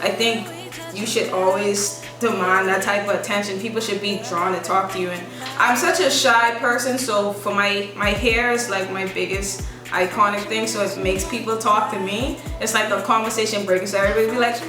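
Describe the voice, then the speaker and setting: A young woman, a musician answering interview questions in a soft, relaxed, rambling way. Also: medium pitch, moderate pace. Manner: soft-spoken, relaxed, loose rambling phrasing. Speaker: musician guest. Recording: echoey room from a distance, music behind.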